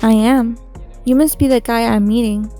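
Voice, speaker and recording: An actress playing a guarded, businesslike undercover agent in a sci-fi audio drama, curt and unimpressed. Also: female voice, on the high side, steady tone, normal speed, mellow, soft background music, lightly acted.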